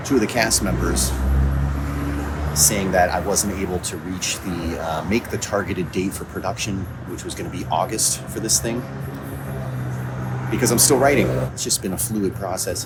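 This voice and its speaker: A man speaking casually in a self-recorded video diary on location, thinking aloud in a halting way with repeated words. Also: low voice. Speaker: audio drama writer and producer. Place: coffee shops and outdoors, on location. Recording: noisy on-location recording with ambient sound.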